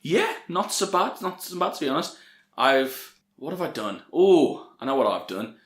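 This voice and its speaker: A man's voice: fairly high pitch, very animated, moderate pace. A British man co-hosting a podcast, chatting casually in an easygoing, self-deprecating way. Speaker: podcast co-host. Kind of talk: casual chat between friends. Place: room at home, no studio. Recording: echoey room at home.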